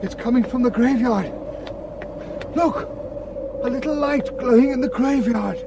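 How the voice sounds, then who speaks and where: An actor playing a prim, protective village rector with a genteel, fussy manner in a radio drama. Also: male voice, extremely high pitch, a little slow, muffled recording, noisy background. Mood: weary, urgent.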